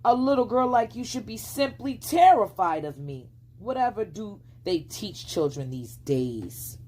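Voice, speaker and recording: A woman's voice: medium pitch, very animated, unhurried, warm tone, pitched above the performer's own voice. A woman voicing a vain, pompous male fox in a storybook, speaking in a mock-courtly, formal way and easily affronted. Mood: affronted, indignant.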